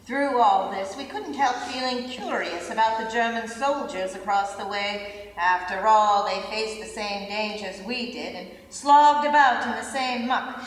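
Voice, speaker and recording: A woman playing a reflective British soldier writing home, reading in measured, expressive reader's theater style on a stage. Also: mid-range voice, natural voice. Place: large indoor theater stage. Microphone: far camera. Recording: distant, echoey theater stage, faint noise.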